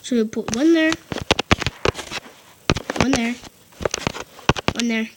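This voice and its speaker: A woman narrating a casual game tutorial into a headphone mic, often stopping to correct herself. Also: fairly high pitch. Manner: halting, frequent self-corrections and restarts. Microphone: headphones with built-in mic. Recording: loud background noise on headset mic.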